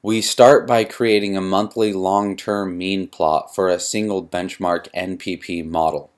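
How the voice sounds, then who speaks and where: Male narrator, low register, measured and methodical, for a scripted software demo. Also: steady tone. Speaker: software demonstrator. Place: voice-over for a screen recording.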